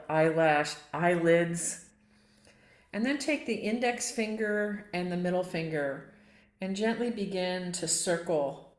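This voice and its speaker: A woman leading a guided relaxation as a yoga nidra teacher, gently and without hurry, inviting listeners along. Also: lowish voice. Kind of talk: guided meditation and relaxation instruction. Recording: roomy, echoing sound.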